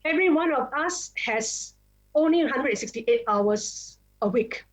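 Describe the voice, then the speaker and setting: A woman's voice: high voice, animated, a little slow, rough recording. Upbeat woman giving closing tips. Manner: upbeat, list-like delivery. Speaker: panelist. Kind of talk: motivational closing remarks. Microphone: video call.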